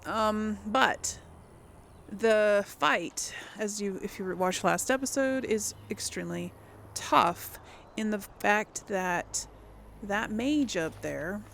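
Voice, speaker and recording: A woman with plenty of vocal fry talks through a game as its host in an unhurried way. She rambles casually, thinking aloud and correcting herself as she goes. There is faint noise behind her voice. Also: medium pitch, a bit bright. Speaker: gaming video host. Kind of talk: casual let's play commentary.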